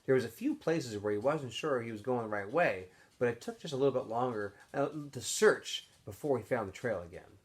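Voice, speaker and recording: A man reading a story aloud like a teacher to students, in expressive narration. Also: medium pitch, fairly quick, hardly any pauses.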